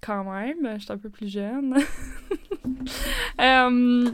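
A woman's voice: a little high, very slow. A woman in her twenties from northern Ontario with a Franco-Ontarian French accent, co-hosting a podcast in a playful, giggly way. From northern Ontario, Canada. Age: 20s. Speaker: podcast co-host, marketing manager. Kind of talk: casual podcast chat.

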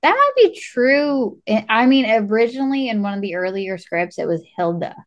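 A woman's voice: on the high side, big pitch swings, normal speed, muffled recording. A woman chatting casually as a podcast co-host and quiz contestant. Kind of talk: casual chat.

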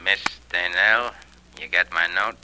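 A man's voice: low-pitched, steady tone, sharp tone, exaggerated voice. An actor playing a slangy, threatening male thug in an old radio crime drama. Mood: menacing. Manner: slangy, slow, threatening delivery. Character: menacing tough male thug making a threatening phone call. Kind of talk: 1940s radio acting. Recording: noisy old radio recording with hum.

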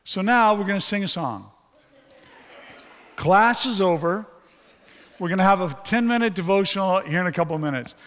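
A man's voice: high-pitched, lively intonation, normal speed, long pauses, a bit bright. A male pastor preaching, his voice weakened after a stroke, candid and joking with the congregation. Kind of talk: sermon. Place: church sanctuary. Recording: long hall reverb.

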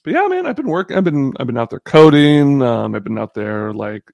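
Gravelly man in his thirties, chatting casually. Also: medium pitch, sing-song, a little mellow, dry recording. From born in the Bahamas. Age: 30s. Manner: halting, rambling, joking. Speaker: podcast guest. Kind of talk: casual chat.

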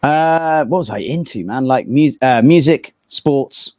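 A man's voice: mid-range voice, unhurried, a little mellow, dry recording. A man in his thirties with a southern English accent, chatting casually as an interview guest in an informal, rambling, hesitant way. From England. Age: 30s. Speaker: interview guest, metal band vocalist. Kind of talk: casual chat.

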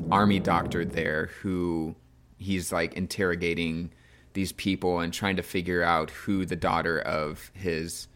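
Low-voiced man hosting a casual podcast. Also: noisy background. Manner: informal, loose phrasing full of hedging "like". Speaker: podcast host. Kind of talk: casual film discussion.

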